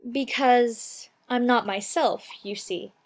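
Man putting on a high-pitched, polite little girl. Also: slow pace, heavily acted. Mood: shy, confused. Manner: shy, very polite, hesitant. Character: polite, anxious little girl, easily flustered. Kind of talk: storybook character voice.

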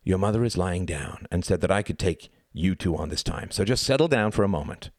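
A man voicing a stern, grimly controlled adult man who talks in a firm, measured, authoritative way in a story. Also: low voice, no room sound, studio quality.

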